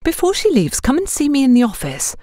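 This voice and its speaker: A woman's voice: high voice, wildly swooping pitch, a little fast, dark tone, no room sound, pitched above the performer's own voice. A woman narrator puts on a demanding female boss in an audiobook drama. She is curt and authoritative, her intonation rising and falling sharply, recorded close and dry. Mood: instructing.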